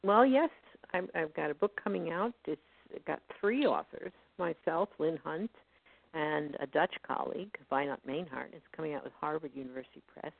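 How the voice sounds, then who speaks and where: A woman history professor, a guest on an online talk show, explaining in a measured, scholarly way over a phone line. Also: low-pitched, vocal fry, dry recording. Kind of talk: online radio interview. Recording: tinny phone line, dry.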